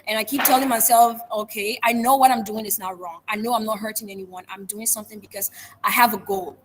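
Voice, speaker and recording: A woman's voice: mid-range voice, fairly even intonation, slight background noise. A young woman with a Liberian accent, a guest speaker earnestly describing her public speaking journey in an interview. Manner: earnest, measured, self-reflective. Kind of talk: interview answers.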